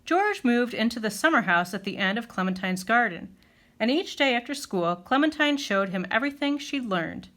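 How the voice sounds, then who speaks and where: Children's book narrator: woman, lively melodic range, slightly high, clearly phrased and expressive. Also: moderate pace. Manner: animated, clearly phrased storytelling. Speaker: storyteller reading a children's picture book.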